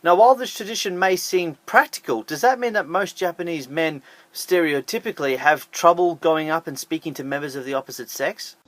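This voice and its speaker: A man's voice: on the high side, fairly quick, no room sound. A man hosting an online video, narrating a scripted countdown list in an upbeat, emphatic way with jokey asides. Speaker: YouTube host.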